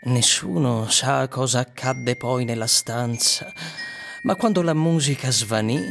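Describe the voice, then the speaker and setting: An actor playing a grave storyteller, narrating an eerie tale for radio drama in a measured, solemn manner. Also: male voice, mid-range voice, creaky, a bit bright. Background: faint music.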